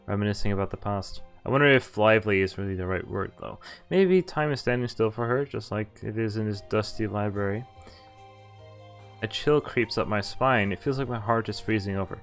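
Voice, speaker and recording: A man streaming a visual novel, reading its text aloud with casual commentary over game music. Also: on the low side. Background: game background music.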